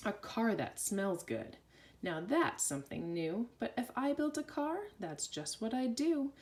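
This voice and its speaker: A woman reading a rhyming children's story aloud with an expressive, sing-song delivery. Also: a little high, unhurried.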